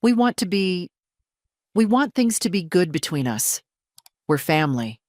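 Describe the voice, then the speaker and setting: A woman voicing a hesitant, conciliatory adult son in an audio story, with a halting manner. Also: fairly low pitch, sing-song, darkish tone, dry recording, studio quality, pitched above the performer's own voice. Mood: tentative.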